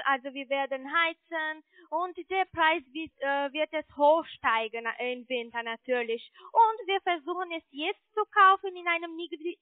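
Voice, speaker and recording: A very high-pitched woman speaks over a dull phone line. She is a telemarketer, explaining insistently and checking that the listener agrees. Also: dry recording. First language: not German. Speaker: telemarketing caller pitching investments. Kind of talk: improvised phone sales pitch. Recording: muffled narrow-band phone call.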